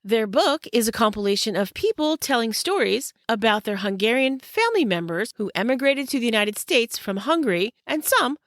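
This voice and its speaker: Upbeat podcast host woman, lively pitch. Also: normal speed, hardly any pauses, dry recording, clean studio sound. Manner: upbeat, welcoming, enthusiastic. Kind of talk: scripted podcast intro and interview.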